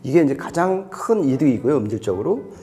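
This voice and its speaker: A man's voice: medium pitch, big pitch swings, a little fast, dark tone, faint music behind. A man reviewing audio gear, explaining it conversationally to a co-presenter.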